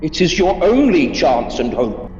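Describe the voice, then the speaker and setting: Mournful ghost, cavernous reverb, slow solemn declamation, high swooping voice, stern, muffled radio play sound. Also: male voice, big pitch swings, a little mellow, slight background noise. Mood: stern warning. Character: mournful, stern ghost of dead business partner. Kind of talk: theatrical radio play acting. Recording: muffled, echoing long reverb, distant.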